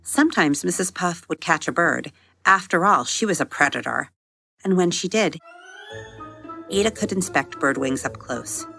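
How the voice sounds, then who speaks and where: A woman narrates a children's storybook tale in a low register with plenty of vocal fry. Her delivery is measured and expressive, like scripted podcast storytelling. Music plays behind her voice.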